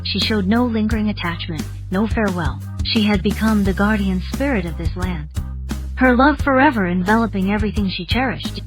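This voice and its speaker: A woman's voice: medium pitch, a little fast, soft background music. A woman delivering scripted narration of a fantasy story.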